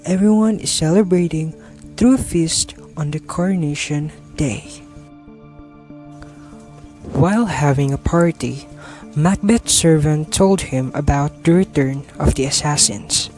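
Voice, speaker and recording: Slow-paced male narrator over music. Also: on the high side, a little mellow. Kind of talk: scripted story narration.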